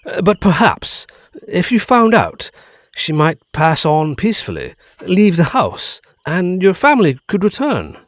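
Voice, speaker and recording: An actor playing a bold, curious gentleman friend in a period ghost story, theatrical, old-fashioned and inquisitive. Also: high-pitched, wildly swooping pitch, measured pace, heavily acted. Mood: intrigued, bold. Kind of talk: period ghost story audio drama acting.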